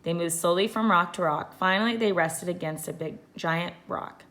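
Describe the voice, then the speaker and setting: A woman, a teacher, reading a children's adventure story aloud with deliberate, expressive emphasis. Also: lowish voice.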